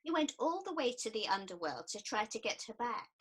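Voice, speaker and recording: A woman's voice: on the high side, very animated, a little fast, fairly bright tone, slightly rough, full character voice. A woman playing an earnest, prim and easily offended counsellor in a radio comedy set in ancient Greece. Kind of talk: radio play acting.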